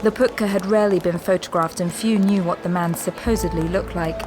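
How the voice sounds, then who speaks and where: A woman's voice: lowish voice, fairly even intonation, fairly quick. A woman reading scripted dramatic narration as the narrator of an audio drama. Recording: music bed under the voice. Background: music.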